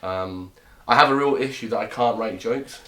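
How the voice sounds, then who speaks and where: A man's voice: fairly low pitch, moderate pace, bright, forceful tone. A man in his twenties from Romania, a comedian guest chatting casually and joking in a rambling way. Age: 20s. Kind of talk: casual chat.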